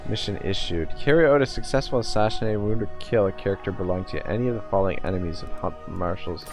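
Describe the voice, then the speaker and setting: A man's voice: low-pitched, quick pace, over music. A man from Nova Scotia, casually commenting on a live game stream, swearing often and thinking aloud with lots of fillers. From Nova Scotia, Canada.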